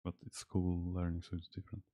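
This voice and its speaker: A young man from Poland with a Polish accent, chatting casually while streaming a game, halting and correcting himself as he reads chat aloud. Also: deep voice, completely flat delivery, dry recording, dull audio. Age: young adult. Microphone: desk microphone. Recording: game music behind. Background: faint game music.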